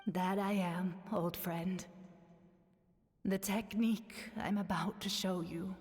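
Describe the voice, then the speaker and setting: A woman playing a dying, gentle sage in a video game, speaking in a solemn, measured, often breathy way about tradition. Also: medium pitch, steady tone, close to an everyday voice. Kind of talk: dramatic video game acting. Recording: long reverberant hall effect.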